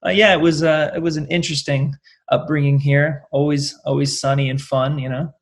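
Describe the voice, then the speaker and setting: A man's voice: fairly high pitch, little pitch movement, fairly quick. Casual, rambling young Californian man. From California, United States. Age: late 20s. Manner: rambling, peppered with "like" and "you know". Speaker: interview guest, rock singer. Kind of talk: casual interview chat.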